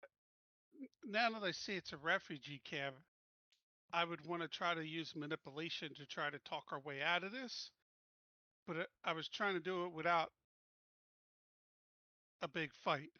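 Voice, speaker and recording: A male player at a roleplaying game speaks in a bright, forceful voice. He thinks aloud in casual, halting phrases. The sound is dry and a little muffled. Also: a little high, dry recording. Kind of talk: casual game table talk.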